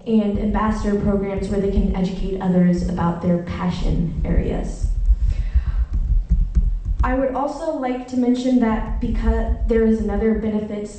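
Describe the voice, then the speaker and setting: A woman from Chile reads a prepared public comment in an earnest, formal way. Her voice is mellow and soft-edged. It comes from a distance in a very echoey meeting room with faint room noise. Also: mid-range voice, steady tone, normal speed. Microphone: lectern mic, heard from a distance.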